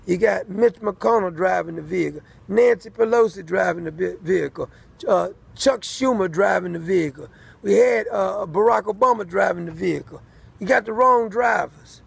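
A man with a high voice full of heavy gravel delivers a rambling political rant. He hammers points by repeating them, and his tone is husky and rough. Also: normal speed. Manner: repetitive, emphatic.

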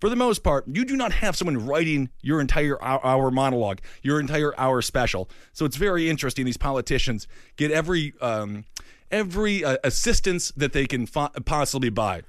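A man with a Midwestern accent co-hosting a casual political comedy chat, riffing on jokes and quick tangents. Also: mid-range voice, expressive, no echo, clean studio sound. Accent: Midwestern American. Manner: joking, riffing, fast tangents. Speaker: podcast co-host.

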